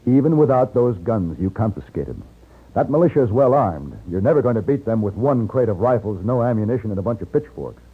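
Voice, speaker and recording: Low, animated cool American gunslinger hero, shrewd, wry, persuasive, 1950s-style radio western on a tinny recording. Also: male voice, a little fast, husky. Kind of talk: old-time radio western acting and narration.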